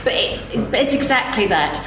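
A woman's voice: medium pitch, lively intonation, normal speed. A woman panellist speaking emphatically in a live discussion, repeating phrases for stress. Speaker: festival panellist. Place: indoor live event venue. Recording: echoey room, clear background noise.

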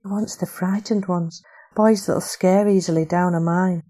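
A woman's voice: on the low side, fairly even intonation, unhurried, warm tone. Woman voicing a desperate young mill girl. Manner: pleading, urgent. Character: desperate young working woman searching for brothers.

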